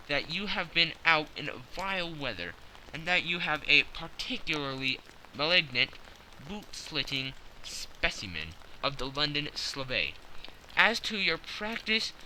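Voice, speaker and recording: A man voicing a brisk, sharp-minded Victorian detective who lectures with crisp self-assurance in an audiobook. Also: fairly high pitch, slight background noise, full character voice, poor audio. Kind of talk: audiobook character reading.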